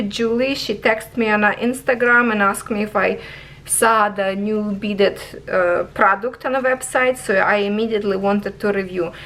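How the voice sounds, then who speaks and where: Slow-talking woman reviewing craft kits. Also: fairly high pitch, fairly bright tone, low-quality recording. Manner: chatty, keeps checking in with viewers; non-native English phrasing. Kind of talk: casual product review.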